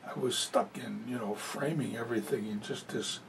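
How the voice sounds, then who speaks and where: A man's voice: normal speed, gravelly. A man voicing a presentation as a sign language interpreter, talking conversationally with many fillers. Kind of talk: live spoken interpretation of a talk. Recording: distant mic with faint low rumble.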